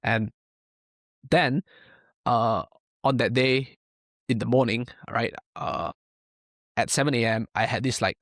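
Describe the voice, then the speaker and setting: A man's voice: medium pitch, slow, pauses often, a bit bright, no room sound. A young man from Malaysia, a powerlifting coach, talking casually in a podcast interview, filling his speech with "like" and "right?". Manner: rambling, many fillers and tag questions.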